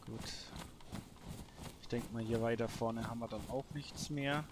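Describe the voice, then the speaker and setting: Slow-paced man commenting on gameplay. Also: medium pitch, steady tone, noisy background. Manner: thinking aloud in short, halting phrases. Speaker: host of a gameplay series. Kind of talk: casual let's play commentary.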